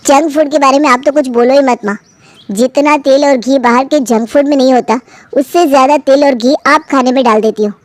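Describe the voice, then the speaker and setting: A woman's voice: sits high, fairly quick, dry recording, close to an everyday voice. A woman voicing a fussy, health-conscious young adult son who protests to his parents. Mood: annoyed.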